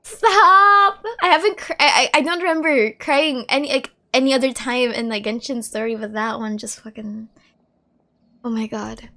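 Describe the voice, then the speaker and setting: Fairly high-voiced woman casually reacting. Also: moderate pace. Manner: informal, expressive, thinking aloud. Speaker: gaming streamer. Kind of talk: casual gameplay reaction commentary. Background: background music.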